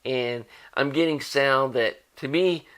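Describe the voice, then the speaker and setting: A man's voice: mid-range voice, slow, a bit bright. An American man hosting an audio electronics vlog, speaking in a casual, conversational and opinionated way. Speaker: host of an audio electronics channel. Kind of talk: casual explanatory vlog talk.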